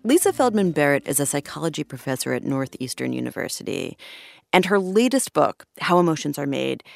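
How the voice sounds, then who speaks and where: A woman's voice: low-pitched, big pitch swings, brisk, creaky, a little mellow, dry recording, soft background music. A woman working as a podcast host and reporter, explaining stories in a conversational narrating style.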